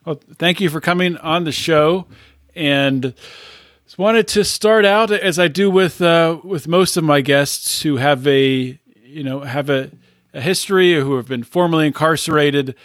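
A male interview host with a fairly high voice lays out the facts in a measured, explanatory way, often restarting his sentences. His sound is dry and close, with no room at all. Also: fairly bright tone, no room sound. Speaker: podcast host. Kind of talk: casual interview.

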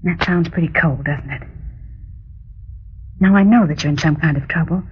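Dull vintage radio sound, anxious gentle wife, very husky, formal diction, earnest pleading. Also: female voice, deep voice, normal speed. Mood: tender, pleading. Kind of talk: mid-century radio drama acting. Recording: dull, lo-fi old radio recording.

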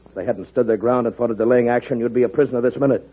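A husky, mid-pitched American voice of a male actor as an insistent wartime official, stern and exasperated. The delivery is clipped yet animated, in a slightly dull vintage radio play recording. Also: very fast, natural voice. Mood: urgent, exasperated. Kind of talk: old-time American radio drama acting. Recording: dull old radio broadcast.

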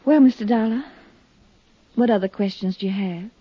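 A woman's voice: mid-range voice, normal speed, husky, natural voice. An American actress playing a brave, shaken young woman in a 1950s radio drama, speaking earnestly and dramatically. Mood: composed. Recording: dull, dry vintage radio recording.

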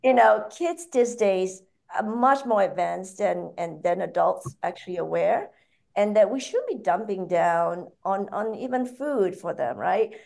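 Creaky-voiced Malaysian-accented woman. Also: mid-range voice, expressive, measured pace, a little mellow. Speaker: podcast guest, author and food company founder. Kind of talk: conversational interview.

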